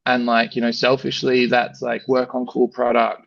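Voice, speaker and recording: An Australian man, a brand founder, chatting casually in an interview, relaxed and rambling with lots of "you know" and "like". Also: medium pitch, moderate pace, creaky, no room sound, dull audio.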